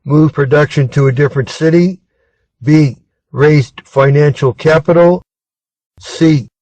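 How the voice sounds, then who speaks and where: A man with an American accent reads scripted exam questions aloud in a measured, deliberate manner. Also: fairly high pitch, darkish tone, no echo, rough recording. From Arizona, United States. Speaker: reader of test material.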